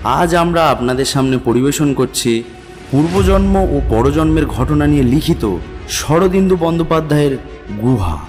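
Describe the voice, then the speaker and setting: A man's voice: fairly high pitch, expressive, normal speed, darkish tone. A man announcing an audio story show in a scripted, dramatic introduction style. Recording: long reverb over music. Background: music.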